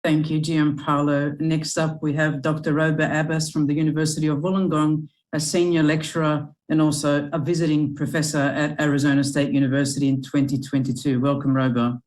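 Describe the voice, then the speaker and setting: A woman moderating an academic panel, introducing the next speaker in an even, measured way. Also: deep voice.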